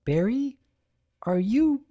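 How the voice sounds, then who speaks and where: A man voicing a nervous, squeaking pony mare in a story reading. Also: big pitch swings, takes it slowly, mellow, exaggerated voice.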